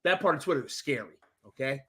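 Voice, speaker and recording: A man hosting a casual sports talk stream, giving shout-outs in a loose, profane, rambling way full of restarts. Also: medium pitch, animated, quick pace, slightly rough.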